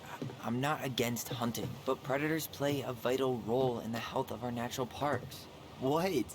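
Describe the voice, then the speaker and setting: A man's voice: medium pitch, moderate pace, close to an everyday voice. Actor as an earnest young American man, noisy background. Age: young adult. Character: earnest, dryly joking young man, passionate about wildlife. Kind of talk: naturalistic video game dialogue acting. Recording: game stream with clear background noise.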